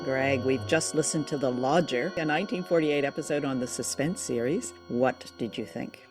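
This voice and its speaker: A woman's voice: rumbling low, moderate pace, music in the background. A woman hosting a podcast in conversational review chat. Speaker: podcast host.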